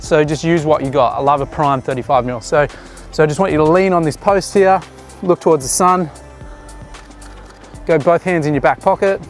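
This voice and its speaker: A man in his thirties, a photographer, casually explaining his shots while giving directions to a model outdoors. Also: fairly high pitch. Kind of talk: casual behind-the-scenes commentary. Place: outdoors on a beach jetty.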